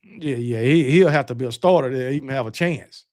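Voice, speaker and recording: A man's voice: mid-range voice, a little fast, darkish tone. A man from Alabama with a Southern US accent, hosting a casual sports talk show in a blunt, emphatic, argumentative manner, often repeating himself. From Alabama, United States. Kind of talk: casual live sports debate.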